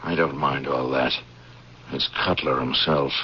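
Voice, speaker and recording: An actor playing a bitter, stubborn army major in an old-time radio drama, speaking in a terse, guarded, polite way. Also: male voice, rumbling low, steady tone, a little slow, slightly rough, heavily acted. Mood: grudging, guarded. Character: bitter, stubborn army major, freed Civil War prisoner with a cane. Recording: muffled, noisy old radio broadcast recording.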